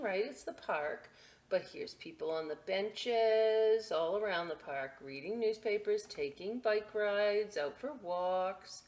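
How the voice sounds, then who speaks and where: A woman leads a children's story time at an unhurried pace. Her delivery is playful and very animated, slipping from reading into chatty asides and questions. There is some clear noise behind her voice. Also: mid-range voice. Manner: playful, expressive, asks and answers her own questions.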